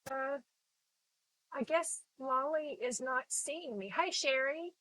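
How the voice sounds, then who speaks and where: Texan lady chatting thru a live paint stream, kinda high voice, dry sound, rambles and says hi to folks. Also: takes it slowly, fairly bright tone, no echo, rough recording. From Texas. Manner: chatty, rambling, greets viewers by name. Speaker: art instructor live-streaming. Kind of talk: casual live painting tutorial chat. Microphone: phone camera.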